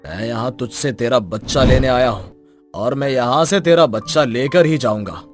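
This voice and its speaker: A man's voice: mid-range voice, moderate pace, soft background music, close to an everyday voice. A man playing a sinister tantric sorcerer who demands a baby in a commanding, menacing way. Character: sinister male tantric sorcerer, child-stealing.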